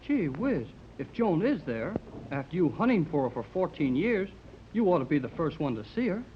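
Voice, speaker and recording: An eager, loyal teenage boy adventurer as acted for radio talks with slangy enthusiasm. His pitch leaps about in a lively way. It is a 1930s radio drama recording, dull and faintly noisy. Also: moderate pace, close to an everyday voice.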